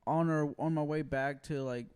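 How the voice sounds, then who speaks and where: A man hosting a podcast, telling stories in a relaxed, casual way with many "like" fillers. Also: on the high side, normal speed, clear, resonant tone. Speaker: podcast host. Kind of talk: casual chat.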